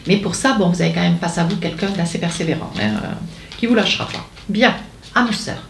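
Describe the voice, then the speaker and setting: A woman's voice: lowish voice, expressive, brisk, vocal fry, reverberant, a little hiss or hum. A woman giving a monthly tarot guidance to her viewers, meandering and hesitant, coaxing the listener with lots of fillers and tag questions. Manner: intuitive, coaxing, speaks directly to the listener. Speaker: tarot card reader.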